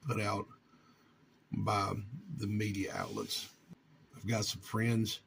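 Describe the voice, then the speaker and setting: A man from North Carolina, talking informally to his viewers in a halting, earnest way with long pauses. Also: lowish voice, vocal fry, slight background noise. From North Carolina, United States. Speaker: vlogger and amateur radio hobbyist.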